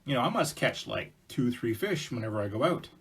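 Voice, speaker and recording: A man's voice: mid-range voice, very animated, a little fast, gravelly, slightly rough, heavily acted. A man voicing a sly fox in a folk tale, talking in an offhand, understated way.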